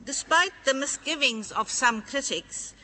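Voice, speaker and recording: A woman's voice: high-pitched, expressive, measured pace, bright, forceful tone, poor audio. A woman giving a formal scripted statement.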